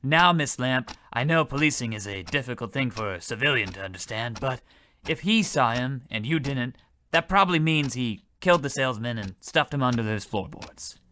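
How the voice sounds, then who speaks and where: An actor playing a blundering, self-important, freeloading cop in an old-time radio comedy, rambling in a folksy, oblivious way. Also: male voice, mid-range voice, lively intonation, moderate pace, sharp tone, slight background noise. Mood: matter-of-fact.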